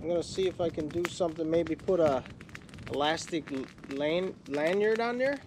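A man's voice: fairly high pitch, normal speed. A middle-aged man from Newfoundland, Canada, chatting casually and easygoing in a sunny forest, picked up by a camera a few metres away. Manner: rambling, easygoing, stumbles and restarts often. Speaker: bushcraft vlogger. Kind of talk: casual outdoor vlog talk. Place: outdoors in a sunny forest by a lake. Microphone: camera on a tripod a few metres away. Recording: outdoor camera audio with clear background noise.

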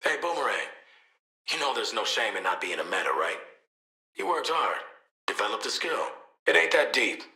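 Video game assassin: American, cynical and cocky, wry street slang, lively pitch swings, roomy sound. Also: male voice, normal speed, gravelly, long pauses, full character voice. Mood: subdued, sincere. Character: cynical, cocky male assassin and protective father. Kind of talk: video game character voice lines.

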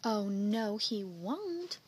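A woman voicing a brisk, bossy country aunt in a children's story, talking in an emphatic, blunt, folksy way. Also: fairly high pitch, animated, slight background noise. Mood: cross, sour.

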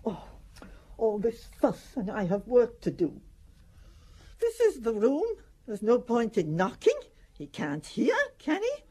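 A woman's voice: on the high side, wildly swooping pitch, takes it slowly, mellow, breathy edge, heavily acted. An actress playing a fussy, hysterical, self-centred landlady in a radio drama. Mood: grumbling.

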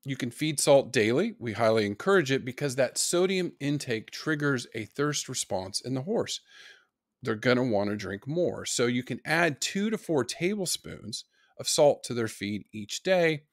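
A man's voice: medium pitch, fairly bright tone, no echo, studio quality. A middle-aged man lecturing on horse nutrition, giving practical tips in an online course. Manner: practical, advice-giving explanation.

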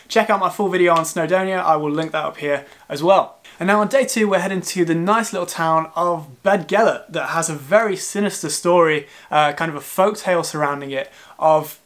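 Young man, fairly high voice, chatty travel vlogger, jokey and self-deprecating, sofa at home. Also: fairly bright tone. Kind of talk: casual travel vlog talk. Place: living room sofa, indoors.

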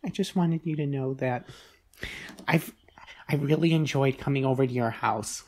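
A man's voice: mid-range voice, normal speed, a little mellow, slight background noise, close to an everyday voice. A man playing a lustful, awkward teenage boy who blurts things out in improvised comedy. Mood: smitten.

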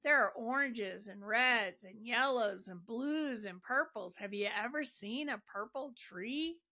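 A woman narrates a guided meditation in a fairly high, bright voice. She speaks very slowly and deliberately, placing each phrase with care. Her delivery is gentle and soothing as she leads the listener along.

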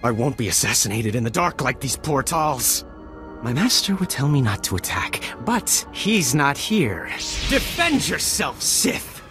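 Scared bitter jedi apprentice in a video game, voice jumping all over, agitated, music behind him. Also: male voice, mid-range voice, very animated, measured pace. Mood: bitter defiance. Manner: agitated, dramatic, swinging from dread to defiance. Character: frightened, paranoid, bitter abandoned Jedi apprentice, a man.

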